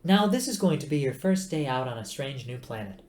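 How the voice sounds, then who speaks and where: A man's voice: mid-range voice, very animated, fairly quick, darkish tone, natural voice. A man voicing a fussy, motherly female ship computer with a bossy, sing-song manner. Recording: roomy echo.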